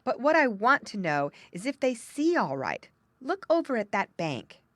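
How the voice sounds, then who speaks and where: A woman's voice: fairly high pitch, big pitch swings, normal speed, dry recording, voice pushed up. A woman voicing an earnest, puzzled male muskrat in a storybook reading. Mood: insistent.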